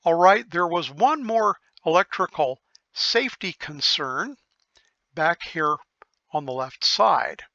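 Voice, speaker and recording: An older man giving an informal technical explanation, methodical and thinking aloud with pauses. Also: fairly high pitch, bright, forceful tone, no room sound, highs cut off. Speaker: electronics repair hobbyist presenter.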